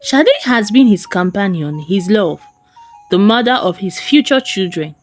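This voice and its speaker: A woman's voice: medium pitch, expressive, measured pace. A woman narrating a folktale as a storyteller, in a dramatic scripted style. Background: faint music.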